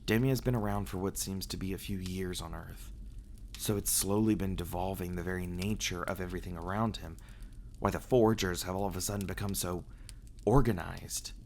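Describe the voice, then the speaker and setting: Man acting as a low, gravelly brooding vampire. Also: fairly even intonation, darkish tone, slight background noise. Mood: troubled, earnest. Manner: halting, hesitant delivery with dramatic pauses and sighs. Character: reclusive male vampire, brooding and earnest, confiding in a companion. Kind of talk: ASMR roleplay audio drama acting.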